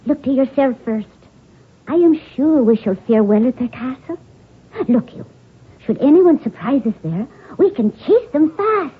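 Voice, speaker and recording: High-voiced, animated young Irish noblewoman. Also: unhurried, husky, heavily acted. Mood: earnest, bold. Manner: theatrical, lilting, impish. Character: spirited, mischievous young Irish noblewoman, playful and bold. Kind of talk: 1970s radio drama acting. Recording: tinny band-limited 1970s radio broadcast.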